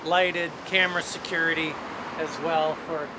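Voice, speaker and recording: A man's voice: a little high, level tone, unhurried, background noise. A man who develops buildings, explaining a project fluently in an interview outdoors in a city alley.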